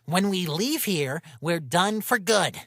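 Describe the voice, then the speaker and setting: A woman voicing an excitable, exasperated female companion in a fan comic dub. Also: lowish voice, takes it slowly, heavily acted. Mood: tense.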